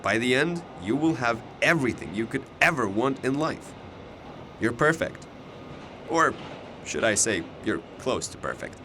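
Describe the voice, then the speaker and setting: A man's voice: mid-range voice, sing-song, a little slow, sharp tone, slight background noise, heavily acted. A man playing a cocky, chatty young double who knows it all, with a glib, teasing way of talking in an audio drama. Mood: earnest. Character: cocky, chatty, all-knowing alternate-universe male double of a student.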